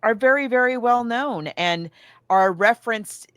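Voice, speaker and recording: A woman's voice: fairly high pitch, a little slow, a bit bright, no echo, studio quality. A woman hosting a podcast, casually discussing books and films in a chatty, enthusiastic way with emphatic turns of phrase. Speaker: podcast host. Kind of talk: casual book and film discussion.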